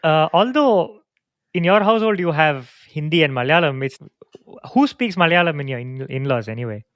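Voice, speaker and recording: A man from India in a relaxed, reflective podcast conversation, telling family stories. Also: fairly high pitch, moderate pace, dry recording, clean studio sound. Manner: reflective, storytelling. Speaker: podcast co-host. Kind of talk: casual conversation.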